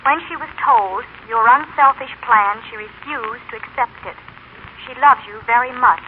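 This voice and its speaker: An actress playing a businesslike telegraph operator on the telephone, formal and clipped, in an old radio drama. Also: female voice, a little high, moderate pace. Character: businesslike female telegraph operator reading a message. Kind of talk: 1930s-40s radio drama acting. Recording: tinny, noisy old radio recording.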